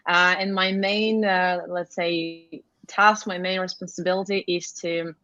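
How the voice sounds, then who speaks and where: A woman speaks in a fairly low voice, giving a relaxed webinar talk. Her audio is dull and dry, and she often says "you know" as she explains. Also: measured pace, no room sound. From Russia. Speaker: head of agency relations. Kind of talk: webinar presentation.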